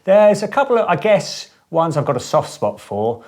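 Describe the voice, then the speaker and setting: A middle-aged man who owns a guitar amp studio, chatting in an interview in a relaxed, enthusiastic way with lots of fillers and restarts. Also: fairly high pitch, normal speed. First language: English. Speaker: boutique amp studio owner. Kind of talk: casual interview chat.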